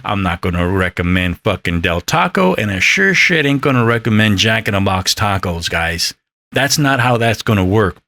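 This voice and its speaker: A man's voice: on the low side, a bit bright, no room sound. Rambling, profane man in his fifties. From Mexican heritage. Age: 50s. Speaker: podcast host. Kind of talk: casual solo podcast talk.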